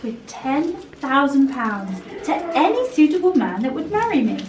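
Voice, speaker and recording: A woman's voice: high voice, sing-song, normal speed, echoey room, recorded from a distance. A woman playing a hanged ghost woman. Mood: mournful. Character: young woman ghost, hanged for father's murder. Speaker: castle tour performer. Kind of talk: theatrical storytelling. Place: indoor castle tour space.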